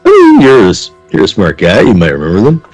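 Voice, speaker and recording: A man running a tabletop game, improvising narration in a halting, conversational way. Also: on the low side, wildly swooping pitch, darkish tone. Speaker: game master. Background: faint music.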